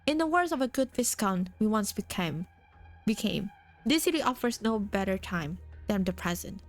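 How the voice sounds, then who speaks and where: A woman's voice: mid-range voice, lively intonation, moderate pace, lightly acted. A woman voicing an aloof, ancient non-human being who talks in a formal, archaic way, with faint music behind. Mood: cold.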